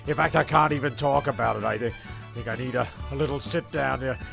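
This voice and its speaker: Gravelly man narrating over music. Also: mid-range voice, quick pace. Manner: stumbling, repeating phrases. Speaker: narrator and castaway in a comedy serial. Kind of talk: tongue-in-cheek scripted narration.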